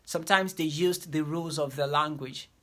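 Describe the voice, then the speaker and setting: A woman giving a lecture on teaching diction, repeating key phrases and slowing down to stress her points. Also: low-pitched, steady tone, a bit bright.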